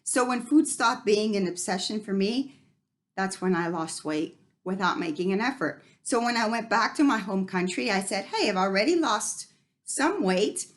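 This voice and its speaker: A woman's voice: medium pitch, animated, moderate pace. Earnest woman from Guatemala telling her story. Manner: earnest, reflective, frequent ums and restarts. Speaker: founder of a fitness company. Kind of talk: personal story told to camera.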